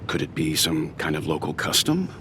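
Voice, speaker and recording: A man voicing a calm, shrewd, mature character in a video game, with an understated delivery. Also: extremely low pitch, noisy background. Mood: pensive. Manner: unhurried, understated. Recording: loud music behind the voice.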